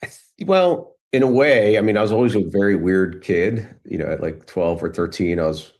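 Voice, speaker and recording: A man with a low-pitched voice talks casually as a guest entrepreneur. He phrases things reflectively, feeling his way through each thought with some hesitation. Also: moderate pace, darkish tone. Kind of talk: casual conversation.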